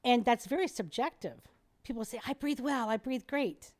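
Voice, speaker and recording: Animated woman explaining like a teacher. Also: on the high side, normal speed. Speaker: airway specialist guest. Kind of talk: podcast interview, explaining and demonstrating.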